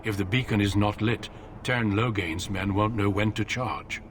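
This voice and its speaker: A dutiful older warrior commander from a fantasy game, played by a male actor. His low voice is solemn and measured, carrying firm authority. Noise sits clearly behind it. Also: steady tone, close to an everyday voice. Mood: grave, firm. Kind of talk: dramatic fantasy video game dialogue.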